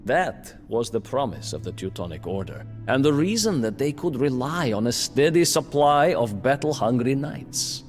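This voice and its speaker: An actor playing a bitter, proud imprisoned nobleman who recounts his downfall as haughty, theatrical, deliberate narration in a video game. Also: medium pitch, sing-song, natural voice. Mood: sardonic, declaiming. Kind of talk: dramatic storytelling narration for a strategy game cutscene. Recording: long reverberant hall, music underneath.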